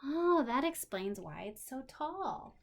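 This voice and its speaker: Woman, higher-pitched voice, very lively intonation, children's read-aloud lesson, expressive, explanatory asides. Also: slow, a little hiss or hum. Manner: expressive, addresses young listeners with questions and simple explanations. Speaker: special education teacher.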